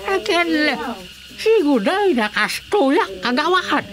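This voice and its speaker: A woman playing an excitable village woman with a Filipino accent in a comedic radio drama. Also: very high voice, slow, crowd noise, full character voice. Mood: animated. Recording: faint background noise.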